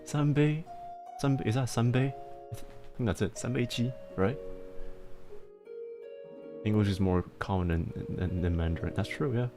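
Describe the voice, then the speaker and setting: A man livestreaming and chatting casually, explaining things in halting bursts with frequent restarts and laughter, speaking with a mixed accent. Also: lowish voice, music in the background. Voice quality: self-described mixed accent. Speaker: livestreamer answering chat.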